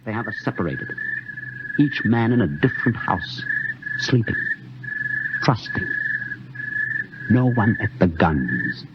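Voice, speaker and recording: A man's voice: on the low side, very animated, slow pace, long pauses, very noisy. A man acting as an anxious, suspicious doctor in a 1950s radio drama, with intense fear. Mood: grave suspicion. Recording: dull old radio recording, loud music.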